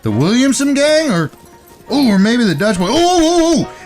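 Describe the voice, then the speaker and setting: Man acting a high, excitable, dim cowboy sidekick. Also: normal speed, soft background music. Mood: eager. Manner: excitable, rustic, ungrammatical. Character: dim, bold, eager cowboy outlaw sidekick. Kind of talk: Western audio drama acting.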